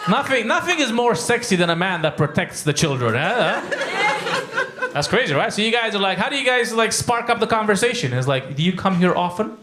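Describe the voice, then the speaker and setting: High, lively comedian working the crowd. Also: male voice, a little fast, very noisy. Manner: teasing, animated, laughing through jokes. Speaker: stand-up comedian doing crowd work. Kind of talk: live stand-up crowd work. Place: comedy club before a live audience. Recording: echoey club with crowd laughter.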